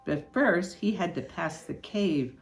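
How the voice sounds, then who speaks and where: An older woman hosting story time, moving between animated storybook reading and casual chat. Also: on the low side, slow, creaky. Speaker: storytelling host. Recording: music behind the voice.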